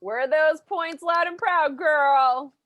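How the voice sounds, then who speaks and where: A woman's voice: high-pitched, slow pace, fairly bright tone, no room sound, clean studio sound. A woman playing a sassy, teasing celebrity panelist bantering in an improvised game show comedy. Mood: brash.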